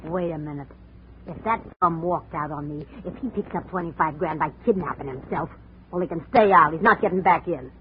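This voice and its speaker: A woman's voice: low-pitched, brisk, a little hiss or hum, lightly acted. A woman acting a brassy, scornful boxer's wife, muffled old recording. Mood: scornful, suspicious. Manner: slangy, tough, contemptuous. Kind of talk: 1950s radio drama acting.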